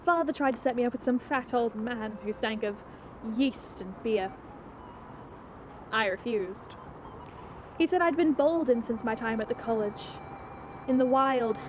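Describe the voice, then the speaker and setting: A woman's voice: fairly high pitch, lightly acted. A young woman playing an intense, repressed aristocratic spinster haunted by her youth, in a theatrical confessional monologue. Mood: bitter, wistful. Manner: theatrical, confessional, deliberate phrasing. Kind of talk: dramatic monologue. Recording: tinny band-limited noisy amateur film sound.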